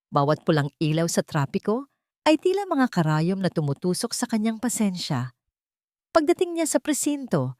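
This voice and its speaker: A woman's voice: on the low side, a little mellow, no echo, studio quality. A woman narrating a scripted story in a dramatic style.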